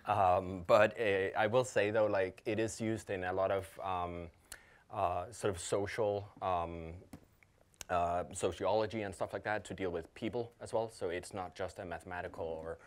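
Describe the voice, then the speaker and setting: A man's voice: deep voice, steady tone, normal speed. A man in his thirties from Denmark, a Danish native speaker, giving a casual conference talk with lots of ums and restarts. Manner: casual, many fillers and restarts. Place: conference hall.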